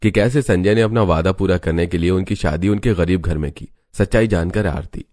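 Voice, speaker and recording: A man's voice: low voice, brisk, darkish tone, no echo, clean studio sound. A man reading scripted moral-story narration as a storyteller.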